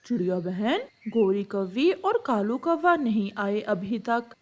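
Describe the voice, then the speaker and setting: A woman's voice: mid-range voice, sing-song, takes it slowly, darkish tone, pitched below the performer's own voice. A woman voicing a sober, anxious pigeon friend in a children's cartoon. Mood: concerned.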